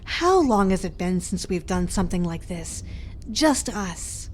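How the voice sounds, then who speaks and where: A woman's voice: lowish voice, sing-song, unhurried, a little mellow, slight background noise. A woman playing a wife on a cabin getaway, speaking emotive dialogue in a horror audio drama. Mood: happy, playful. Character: anxious wife on a cabin getaway gone wrong.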